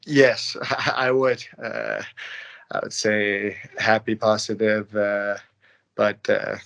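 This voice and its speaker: A man's voice: fairly low pitch, little pitch movement, takes it slowly, creaky. A man from Denmark in his late twenties answering interview questions as a professional ice hockey player, casual and laid-back. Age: late 20s. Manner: laid-back, not loud.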